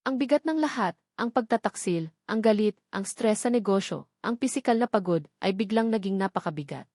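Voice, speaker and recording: A woman's voice: medium pitch, animated, fairly quick, a little mellow, studio quality. Female narrator for romantic audio drama: scripted dramatic storytelling, mid-range voice, no room echo.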